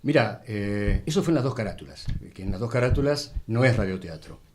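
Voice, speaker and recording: A man's voice: lowish voice, animated, a little fast, darkish tone, slight background noise. An Argentine man, a radio actor, explaining things didactically in conversation with short tag questions.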